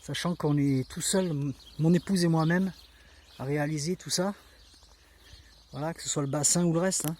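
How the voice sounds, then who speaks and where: A man's voice: a little high, moderate pace, pauses often. Chatty man with a southern French accent. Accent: southern French (Var). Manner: chatty, relaxed, frequent fillers. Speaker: vlogger. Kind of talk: casual walk-through tour. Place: outdoors in countryside garden. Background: faint birds and rural ambience.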